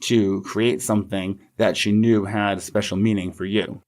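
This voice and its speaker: A middle-aged man with a fairly low voice. He speaks as a co-host on a podcast, moving between conversation and reading aloud. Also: little pitch movement, moderate pace, darkish tone. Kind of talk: friendly podcast chat and poem reading.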